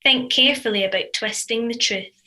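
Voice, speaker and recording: A woman's voice: on the high side, moderate pace, pushed and bright. A woman reading a scripted devotional reflection as one of a church's readers.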